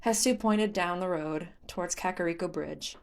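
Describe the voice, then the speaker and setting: A woman's voice: lowish voice, normal speed. A woman reading scripted story narration as the narrator of a fan fiction audiobook.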